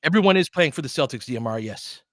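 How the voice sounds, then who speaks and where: A man's voice: mid-range voice, animated, quick pace, fairly bright tone, no echo, studio quality. A man hosting a casual fan livestream, calling basketball in short clipped phrases mixed with chatty asides. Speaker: play-by-play host.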